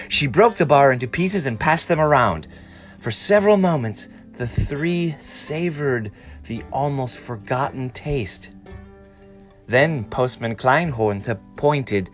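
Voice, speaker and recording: A man reading a storybook aloud in an expressive, dramatic and deliberate way. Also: mid-range voice. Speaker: story reader.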